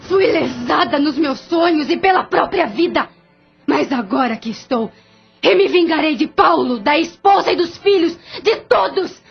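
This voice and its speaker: Vengeful female ghost, bitter and scheming, high voice, muffled lo-fi audio-drama sound; a woman acts it. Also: animated, unhurried, vocal fry, faint music behind, full character voice. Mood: threatening. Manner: declamatory, venomous, threatening.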